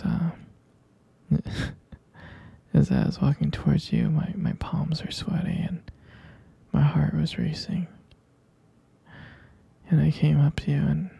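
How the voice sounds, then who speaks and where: Sleepy, breathy, creaky vampire boyfriend played by a man. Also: fairly high pitch, dry recording, lo-fi sound, heavily acted. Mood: tender, reminiscing. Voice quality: soft, breathy, whispery. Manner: drowsy, halting, murmured endearments. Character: sleepy, affectionate half-vampire boyfriend soothing his partner. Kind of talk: intimate ASMR roleplay.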